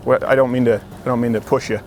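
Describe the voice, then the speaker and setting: A man hosting a street interview outdoors in a city at night, with brisk, joking, provocative questions. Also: medium pitch, fairly even intonation, a little mellow, slight background noise. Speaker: host.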